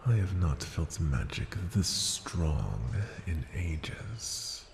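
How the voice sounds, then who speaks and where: An ancient, imperious dragon rumbles in a very low, gravelly register. The speech is formal, unhurried and intrigued, as in a fantasy ASMR roleplay. It rings through long cavernous reverb. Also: male voice, very animated, heavily acted. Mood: impressed, measured. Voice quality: very deep, gravelly, breathy at times. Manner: slow, formal, deliberate, imperious. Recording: cavernous long reverb, faint ambient noise.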